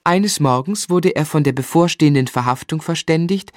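An actor playing a grown man who narrates his own boyhood in a measured, reflective, literary way in a German radio drama. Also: a little high, dry recording, studio quality, lightly acted. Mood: matter-of-fact.

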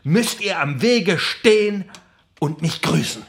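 An actor playing a defiant, fanatical prisoner on hunger strike in a theatrical radio play, speaking with intense, declamatory delivery. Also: male voice, high-pitched, animated, slow pace, exaggerated voice. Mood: fervent, accusing. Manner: intense, declamatory, shifting from hushed mutter to ringing proclamation.

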